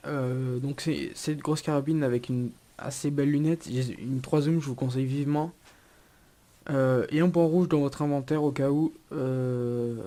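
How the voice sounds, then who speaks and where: A man's voice: medium pitch, mellow. A young man with a cold, giving a casual gameplay tutorial with many hesitations and restarts. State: has a cold. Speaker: gaming YouTuber.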